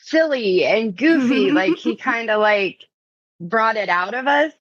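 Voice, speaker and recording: A woman's voice: on the high side, lively intonation, unhurried, dry recording. A woman podcast guest telling stories in casual, informal chat. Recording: dull lo-fi audio.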